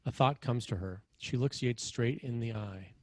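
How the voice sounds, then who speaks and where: A man's voice: medium pitch, normal speed, no room sound. A man narrating screenplay scene headings and action in a scripted read.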